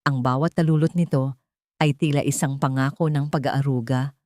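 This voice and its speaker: A woman with a Filipino accent narrating a sentimental story in a measured, emotive, scripted storytelling manner. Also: a bass voice, steady tone, darkish tone, no room sound, clean studio sound.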